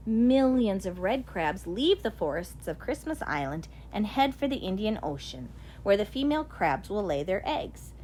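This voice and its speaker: A woman narrates a children's nature picture book with deliberate, expressive phrasing. Her medium-pitched voice moves up and down in a lively way, and there is some faint but steady noise behind the recording. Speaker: audiobook reader.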